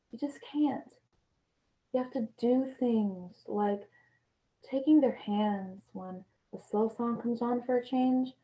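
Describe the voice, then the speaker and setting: A young woman playing a frustrated but tender teenage girl, pleading earnestly in a halting stage monologue. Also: a little high, warm tone. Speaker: drama student performing a monologue. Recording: dull, lo-fi room recording.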